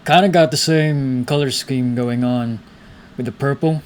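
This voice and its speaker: A man's voice: medium pitch, fairly even intonation, a little slow. A young man giving casual gameplay commentary, musing aloud. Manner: musing aloud, reading out dialogue. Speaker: game streamer.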